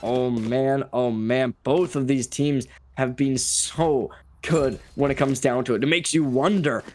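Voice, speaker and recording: A man's voice: medium pitch, a little slow, a little mellow. A man giving live play-by-play commentary on an esports match. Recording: gunfire and game noise behind voice. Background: video game gunshots and clicks.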